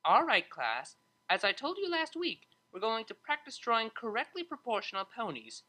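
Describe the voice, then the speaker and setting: Narrator playing a friendly older schoolteacher mare for an audiobook: chatty, kindly, animated. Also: mid-range voice, moderate pace, voice pushed up. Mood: addressing class. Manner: kindly, gently joking, chatty.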